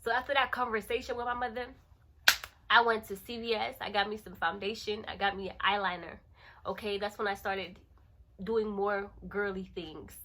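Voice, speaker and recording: A thirty-year-old woman chatting casually to her channel as host, in an animated, playful and emphatic way, repeating phrases for effect. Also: medium pitch, normal speed, slight background noise. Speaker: lifestyle vlogger and channel host. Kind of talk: casual chatty vlog to camera.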